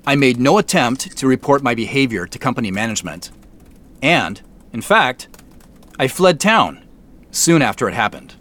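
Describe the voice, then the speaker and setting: An actor playing a contrite middle-aged company founder and CEO, speaking in a measured, formal way with deliberate pauses in an audio drama. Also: male voice, mid-range voice, expressive, fairly bright tone, lightly acted. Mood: contrite, resolute. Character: earnest, contrite male company founder and CEO addressing staff. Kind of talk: naturalistic audio drama acting.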